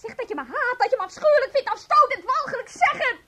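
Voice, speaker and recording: Distraught wife, radio drama, very high pitch, volatile delivery, emotional. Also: female voice, expressive, fast, pushed and bright, heavily acted. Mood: furious, hysterical. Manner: overwrought, emotional, swinging from muttering to screaming. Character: distraught, furious wife throwing her partner out.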